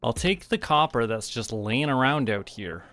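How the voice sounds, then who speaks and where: A man giving casual gameplay commentary, rambling and relaxed as he talks to himself. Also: mid-range voice, normal speed. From Canada. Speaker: streamer.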